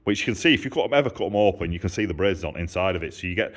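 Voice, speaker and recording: A man's voice: low voice, lively intonation, racing. A man giving a casual, hands-on workshop demonstration, explaining informally step by step and repeating himself. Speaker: motorcycle exhaust maker and mechanic. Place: motorcycle workshop. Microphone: handheld camera held by the speaker.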